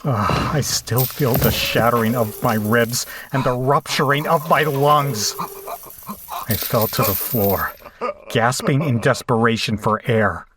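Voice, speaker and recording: A man's voice: mid-range voice, unhurried, lightly acted. Man playing a weary, tormented horror captive, noisy backing. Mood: grim, anguished. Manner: weary, sardonic. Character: tormented male captive, bitterly sarcastic, narrating his ordeal. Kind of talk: first-person horror audio drama narration and dialogue.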